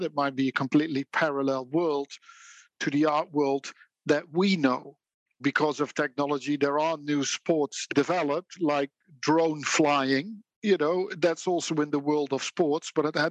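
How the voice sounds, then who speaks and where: A man with a Dutch accent weighs his words in a relaxed interview, thoughtful and even-handed, breaking into a quick laugh now and then. His voice sits a little high for a man. The sound is close and dry, with no room around it. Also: dry recording. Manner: measured and reflective, with occasional laughs. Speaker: guest, auction house specialist. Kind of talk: conversational interview.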